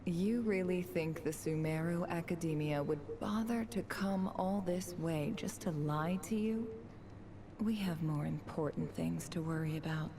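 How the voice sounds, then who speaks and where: A woman playing a smooth, self-assured, scheming ambassador in a game cutscene, speaking in a measured, formal, condescending way. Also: lowish voice, background noise. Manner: measured, formal, persuasive, haughty. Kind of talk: scripted video game cutscene acting. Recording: loud music behind the voice. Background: loud game soundtrack music.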